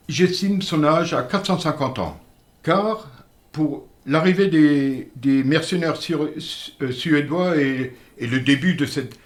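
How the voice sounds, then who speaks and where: An older man with a fairly high voice tells old village tales in a measured, earnest way, stopping and restarting now and then. He grew up speaking a regional dialect and is being interviewed in a radio studio. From Moselle, France. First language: Alsatian-Lorraine Franconian dialect. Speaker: interview guest. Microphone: studio microphone.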